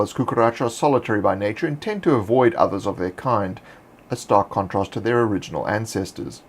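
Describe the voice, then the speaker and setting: A man hosting, reading fantasy monster lore in a measured, scripted narration. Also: fairly low pitch. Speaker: host.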